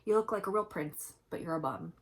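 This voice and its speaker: A woman's voice: fairly low pitch, sing-song, brisk. A woman playing a brave, clever young princess in a children's storybook, with emphatic, theatrical exclamations. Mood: scornful. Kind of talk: children's storybook read-aloud.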